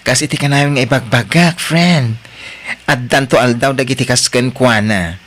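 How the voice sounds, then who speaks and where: Actor as chummy male coworker, Ilocano accent, nosy, expressive, audio drama, mid-pitched voice. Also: normal speed, lightly acted. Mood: commiserating. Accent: Filipino, Ilocano. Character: chatty, nosy, supportive male office friend.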